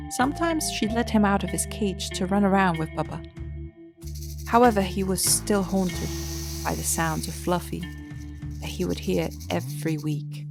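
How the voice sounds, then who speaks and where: A woman narrating an audio drama in a scripted storytelling style. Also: on the low side.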